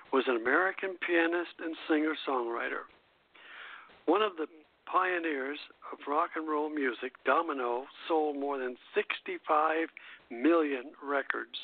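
A man's voice: a little high, no room sound. Man on a tinny phone-line radio show. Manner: halting delivery, stumbling over read text. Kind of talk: reading prepared music history notes on air. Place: internet radio show over a phone connection.

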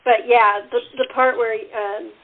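Woman host, slightly high pitch, casual chat, thin phone-band recording. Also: steady tone, measured pace. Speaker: host, writer of the radio play. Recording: thin phone-like call audio.

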